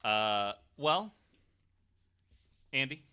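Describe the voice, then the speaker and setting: Bright-voiced man hosting with joking banter. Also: medium pitch, very animated, drawn-out words, clear, resonant tone. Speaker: podcast host. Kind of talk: casual banter.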